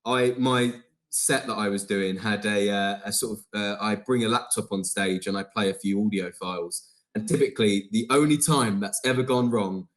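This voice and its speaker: Low-voiced man, halting and hesitant. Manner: hesitant, many ums and uhs. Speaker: comedian and filmmaker guest. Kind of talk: casual interview chat.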